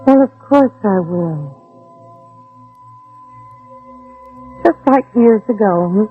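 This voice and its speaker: A woman's voice: mid-range voice, lively intonation, moderate pace, narrow, tinny audio, faint music behind, lightly acted. An actress playing a brave, protective mother in a 1960s radio drama, pleading earnestly and persuasively with an armed man. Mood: gentle, coaxing. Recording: old band-limited radio recording, husky, dry.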